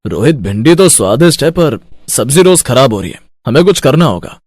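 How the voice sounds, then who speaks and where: A man's voice: medium pitch, very animated, brisk, a little mellow, no room sound, studio quality. A man playing an avuncular eatery owner and boss in a Hindi moral cartoon story, speaking in exclamations. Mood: concerned. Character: approving dhaba owner, the young cook's boss.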